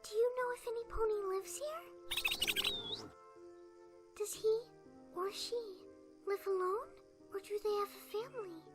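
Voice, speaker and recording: A woman voicing a timid, gentle and anxious young female pony in an animated fan drama, soft-spoken, hesitant and apologetic. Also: very high-pitched, measured pace, long pauses. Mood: curious, hesitant. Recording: music under the voice. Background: music.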